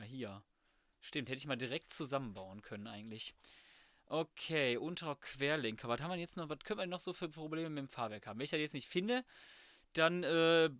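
A man's voice: a little high, a bit bright, no echo, clean studio sound. A young man commenting casually on his gameplay, thinking aloud in slangy, colloquial speech. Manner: colloquial, slangy, muttering to himself. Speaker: let's player.

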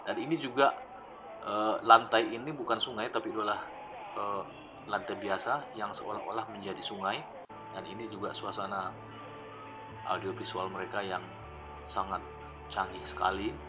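A man narrating his old travel footage in a relaxed, explanatory voice-over, often pausing with fillers. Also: on the low side. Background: background music.

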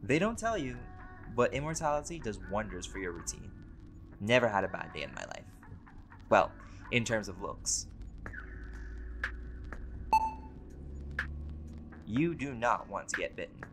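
A man's voice: lowish voice, big pitch swings, moderate pace, over music. Man acting as laid-back, wry centuries-old vampire. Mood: wry, boastful. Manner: casual, wry, sweary, bantering. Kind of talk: conversational roleplay audio drama.

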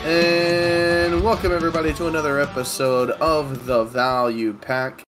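A man's voice: on the high side, little pitch movement, music in the background. A young American man hosting a podcast, chatting casually, joking and swearing. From United States. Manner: joking, swearing, quick bursts of laughter. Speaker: podcast host.

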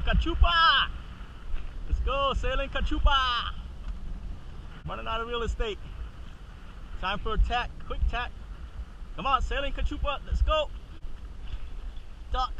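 A man's voice: very high-pitched, animated, measured pace, pauses often, low-quality recording. A man giving casual running commentary from a small sailboat, whooping and calling out to people ashore, recorded on a boat-mounted action camera. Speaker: sailor filming his own boat trip. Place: small sailboat out on open water. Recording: loud wind and water noise.

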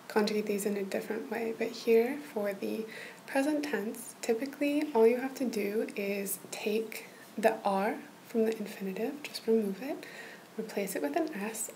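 A woman tutors a listener in an ASMR-style roleplay, her voice a soft, breathy whisper. She speaks slowly and patiently, with gentle encouragement. Her pitch sits in the middle range. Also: a little hiss or hum, low-quality recording. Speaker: language tutor.

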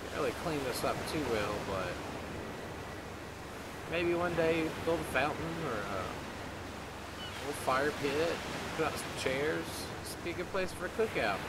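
A man's voice: mid-range voice, very noisy. A man improvising an in-character roleplay monologue over gameplay, rambling as he mutters to himself and to animals. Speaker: gaming video creator playing a role. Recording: loud game ambience of waves and rain. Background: loud game ambience, water sounds.

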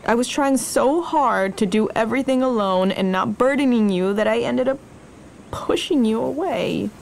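A woman's voice: on the high side, moderate pace, vocal fry, darkish tone, slight background noise. An actress playing a tender, teasing young Mexican American businesswoman in a naturalistic audio romance. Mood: heartfelt, apologetic. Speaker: actor in a scripted podcast romance. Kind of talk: naturalistic audio drama acting.

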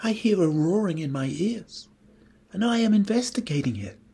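A woman's voice: medium pitch, big pitch swings, normal speed, mellow, breathy edge. A woman voicing a small, timid but curious male mouse in a storybook tale, speaking earnestly and with wonder. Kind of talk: storybook character acting.

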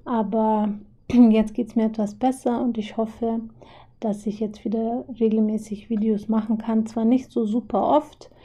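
Hoarse woman explaining things casually. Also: on the high side, level tone, dark tone, highs cut off. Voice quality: husky. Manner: chatty, many filler pauses. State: hoarse from a cold. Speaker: video host. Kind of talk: casual explanatory talk over drawings.

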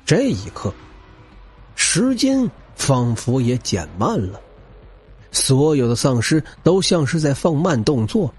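A man's voice: a little high, sing-song, slow, a little mellow, breathy edge, soft background music. A man narrating action fiction as a scripted audiobook, with a dramatic, theatrical and intense delivery.

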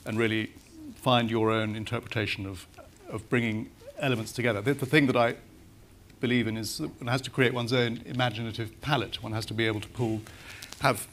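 A man's voice: mid-range voice, fairly even intonation, brisk, a bit bright. A middle-aged man speaking on a festival panel with a mid-range voice. He thinks aloud, restarting phrases as he hunts for the right words. Faint room noise sits under his voice. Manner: thoughtful, searching for words, many restarts. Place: large marquee with audience.

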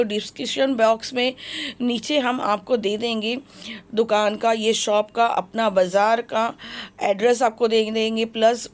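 A woman vlogger walking through a kitchenware shop, talking eagerly and promotionally about the products. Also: fairly high pitch. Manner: enthusiastic, repetitive praise, promotional. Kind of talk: shopping vlog walkthrough. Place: crowded kitchenware shop, indoors.

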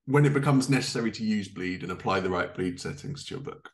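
Casting: male podcast host, thirties, low-pitched, casual conversational interviewer on a video call. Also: quick pace. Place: living room, indoors, on a video call.

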